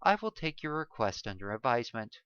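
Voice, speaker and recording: A man's voice: medium pitch, very animated, brisk, a bit bright, clean tone, no echo, dull audio. A woman voicing a cold, apathetic male ship captain who gives curt orders. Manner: curt, commanding, unconcerned.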